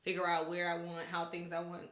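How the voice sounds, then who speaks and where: A woman's voice: lowish voice, monotone, a little fast, a bit bright, roomy sound. A woman co-hosting a podcast, talking casually and colloquially. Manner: colloquial, informal. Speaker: podcast co-host; news anchor. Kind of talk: casual chat.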